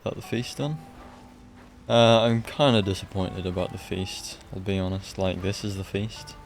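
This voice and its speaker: A man giving casual live gameplay commentary as a streamer, blunt and deadpan, in halting phrases. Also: sits low, faint music behind. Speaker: game streamer.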